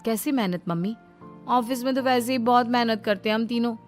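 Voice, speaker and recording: A woman voicing young married daughters who grumble in a whiny, animated way about lazy husbands, in a cartoon story. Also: fairly high pitch, a little fast, faint music behind, natural voice. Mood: eager, teasing.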